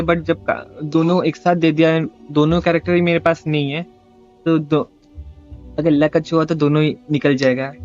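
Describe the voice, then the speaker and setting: A man chatting casually as a live game streamer. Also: fairly high pitch. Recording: dull mic with game music behind. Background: game music.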